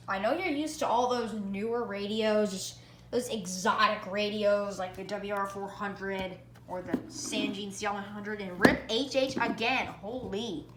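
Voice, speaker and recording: Echoey, excitable young-sounding hobbyist, female-sounding voice. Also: medium pitch. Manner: excitable, repeats phrases for emphasis. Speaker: vlogger reviewing radios. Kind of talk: casual product review vlog. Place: indoor room at home. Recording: echoey room, background hiss.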